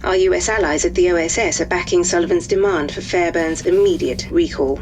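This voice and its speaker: An actress playing a formal officer giving a crisp report. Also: female voice, fairly low pitch, brisk, a little mellow, slight background noise, lightly acted.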